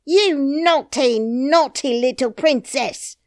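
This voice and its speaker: Woman as storybook nurse, shrill, scolding, high voice, dry sound. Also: slow, no room sound. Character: shrill scolding female nurse, frightened and angry. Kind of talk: audiobook character voice.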